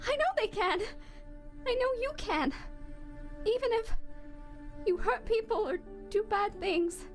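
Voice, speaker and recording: Very high, earnest little skeleton boy. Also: expressive, unhurried, faint music behind. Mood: tender, hopeful. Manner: earnest, pleading, reassuring. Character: gentle, trusting child skeleton brother, hopeful and forgiving. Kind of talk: dramatic comic dub voice acting.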